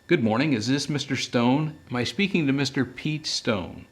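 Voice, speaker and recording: A man's voice: medium pitch, normal speed, close to an everyday voice. A man voicing a priggish, anxious male English professor in a detective audiobook, speaking formally and wordily. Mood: polite. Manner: formal, wordy, fussy.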